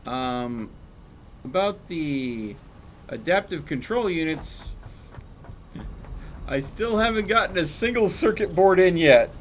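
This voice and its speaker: A man's voice: fairly high pitch, expressive, slow, pauses often, slight background noise. A man chatting casually while streaming a game, thinking aloud and explaining numbers as he plays. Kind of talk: casual chat while gaming.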